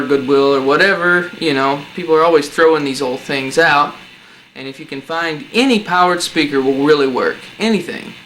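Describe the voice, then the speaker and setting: Bright-voiced man giving a DIY tutorial. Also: fairly high pitch. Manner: informal, talking through each step while working. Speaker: presenter of a DIY build tutorial. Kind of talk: casual hands-on tutorial demonstration. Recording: noisy amateur home recording.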